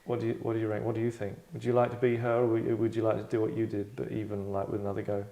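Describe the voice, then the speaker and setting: A man's voice: fairly low pitch, completely flat delivery, rapid-fire, warm tone. A man hosting a table read, with a wry, rambling way of talking and stage-direction narration. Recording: echoey room sound.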